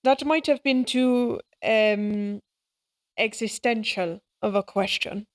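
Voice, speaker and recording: Woman acting unhurried, gentle, lonely woman. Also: medium pitch, dry recording, studio quality, lightly acted. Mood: wistful, earnest. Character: lonely, sincere, gentle woman, fond and wistful. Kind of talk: dramatic audio roleplay acting.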